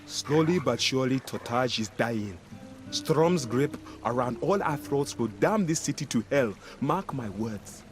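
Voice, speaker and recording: A man's voice: mid-range voice, animated, measured pace, close to an everyday voice. A man playing a grim, embittered city guard in a fantasy video game, speaking in a brooding, earnest, dramatic way. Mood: bitter, brooding. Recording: game music behind.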